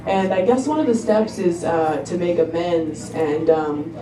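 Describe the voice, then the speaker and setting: A young woman performing a stand-up comedy set with a laid-back, halting delivery full of ums. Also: on the low side, music in the background. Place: comedy club stage. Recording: roomy club recording from a distance, crowd noise. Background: audience laughter.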